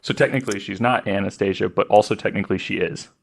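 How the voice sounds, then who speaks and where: A man's voice: on the low side, quick pace, creaky, slightly rough. A man as a podcast guest, chatting casually and jokingly with wry asides.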